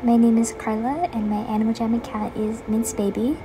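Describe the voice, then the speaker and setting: A woman's voice: fairly high pitch, fairly even intonation, fairly quick, background noise. A seventeen-year-old girl from the United States giving a friendly self-introduction with frequent hesitant ums. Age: teens. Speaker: contestant.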